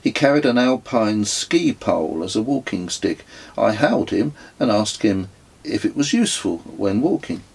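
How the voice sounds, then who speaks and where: A man reading his own memoir as an audiobook, in measured, descriptive storytelling narration. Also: on the low side, very animated, vocal fry, breathy edge.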